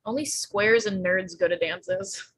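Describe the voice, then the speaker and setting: A woman's voice: medium pitch, measured pace, a little hiss or hum, natural voice, low-quality recording. A woman playing a blunt, slangy, sarcastic sixteen-year-old pregnant girl in a live screenplay read, earnest underneath. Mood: awkward, coy. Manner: slangy, flippant, emphatic.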